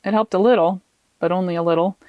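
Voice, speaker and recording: A woman author reading her own fiction aloud as an expressive audiobook narrator. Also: lowish voice, fast talker, dry recording, muffled recording.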